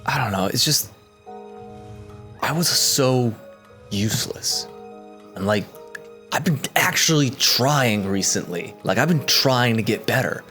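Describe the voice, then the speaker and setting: A man voicing an insecure, earnest young male soldier who talks in halting, self-doubting rambles. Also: medium pitch, animated, pushed and bright, soft background music. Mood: dejected. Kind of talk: in-character tabletop roleplay.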